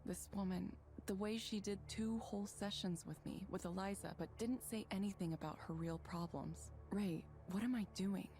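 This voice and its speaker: A woman's voice: mid-range voice, moderate pace, hardly any pauses, warm tone, a little hiss or hum, close to an everyday voice. An actress voicing a disheartened woman engineer in a visual novel game, earnest and weary with self-doubt. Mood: sad, frustrated. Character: disheartened, conscience-stricken woman engineer doubting her work. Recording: music behind the voice.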